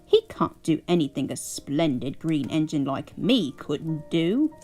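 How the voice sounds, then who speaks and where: A woman's voice: low voice, sing-song, unhurried, dark tone, soft background music. A woman voicing a boastful, proud male steam engine who grumbles in a haughty way. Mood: huffy.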